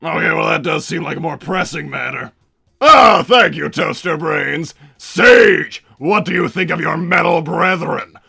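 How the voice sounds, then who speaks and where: A man voicing a bombastic middle-aged mad-scientist villain and doting father, with a raspy, gravelly voice and a theatrical, blustering manner, improvising on a livestream. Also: fairly high pitch, expressive, measured pace, sharp tone, full character voice. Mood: blustering, bemused. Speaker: livestream host in character. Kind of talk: improvised in-character livestream Q&A, reading out viewer messages.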